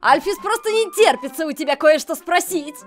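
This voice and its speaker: A woman's voice: very high voice, expressive, normal speed, soft background music. A woman voicing a brash, blunt female fish-monster warrior in a cartoon comic dub. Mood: teasing. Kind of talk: fan comic dub acting.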